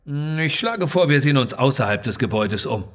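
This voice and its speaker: A man's voice: mid-range voice, animated, fairly quick, a bit bright, big-room sound, natural voice. A man voicing an unflappable male adventurer in an audio story, wry and thoughtful. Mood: musing.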